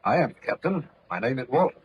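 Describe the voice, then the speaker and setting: An actor playing a steady, responsible sea captain in an old radio drama, formal, courteous and commanding. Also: male voice, mid-range voice, brisk, close to an everyday voice. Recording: muffled, noisy old radio recording.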